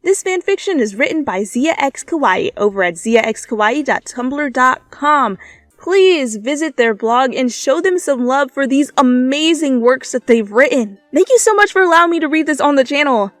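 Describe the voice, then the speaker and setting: High-voiced playful woman, close and dry. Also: expressive, normal speed, no room sound. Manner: goofy, self-mocking, playful. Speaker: fanfiction reader and channel host. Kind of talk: dramatic story narration with casual joking asides. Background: faint music.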